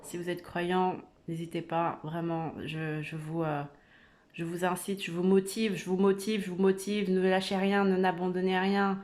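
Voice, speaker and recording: Earnest woman with a flat, low voice. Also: moderate pace. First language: not Arabic. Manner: hesitant, many fillers and restarts, earnest. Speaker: religious video creator. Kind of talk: informal spoken religious exhortation.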